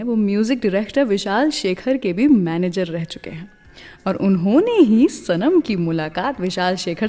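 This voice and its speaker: A woman presents a radio show in a storytelling style. Her voice sits at a middle pitch. It carries a long reverb, with music playing behind her. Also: darkish tone.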